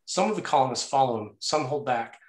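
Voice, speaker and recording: A man's voice: mid-range voice, expressive, brisk. A man narrating action and scene headings from a screenplay in a scripted read. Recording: roomy, echoey sound.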